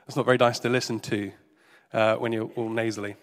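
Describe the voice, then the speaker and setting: Congested preacher in a reverberant hall. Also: male voice, lowish voice, flat delivery, fast talker. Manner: gentle, careful, conversational with fillers. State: congested, slightly nasal. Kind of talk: sermon. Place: church service hall. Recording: reverberant hall with faint music.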